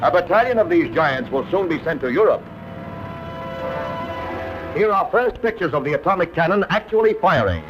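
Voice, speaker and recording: A man's voice: fairly high pitch, very animated, moderate pace, pauses often. An American man reading scripted 1950s newsreel narration with emphatic, announcer-style delivery. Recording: dull old newsreel soundtrack, prominent music. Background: newsreel music.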